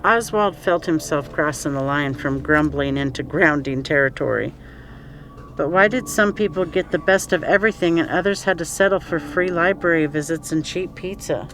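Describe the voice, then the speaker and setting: A man's voice: fairly high pitch, moderate pace, a bit bright, poor audio. A man reading scripted story narration as an audiobook narrator. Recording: faint background noise.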